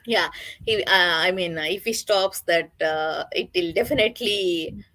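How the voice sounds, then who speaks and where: A woman giving her views casually in a group book discussion, with halting phrasing. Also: fairly low pitch, bright, forceful tone, no room sound.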